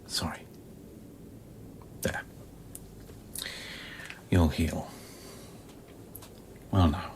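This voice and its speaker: Low, dry, world-weary scientist. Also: male voice, steady tone, extremely slow, long pauses, darkish tone, in a whisper, exaggerated voice. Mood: confiding, weary. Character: wry, probing, world-weary male scientist colleague. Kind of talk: radio play acting.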